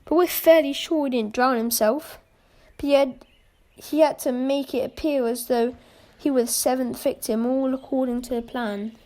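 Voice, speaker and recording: A young woman playing a brisk, wry adventurer who takes charge in an amateur radio mystery, with clipped, old-fashioned phrasing. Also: sits high, fairly even intonation, warm tone, natural voice. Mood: wry, reasoning. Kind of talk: amateur radio play acting.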